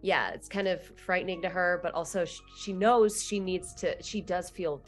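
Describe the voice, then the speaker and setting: A woman's voice: fairly low pitch, normal speed, faint music behind. A woman playing a tabletop game, casually talking through what a character feels.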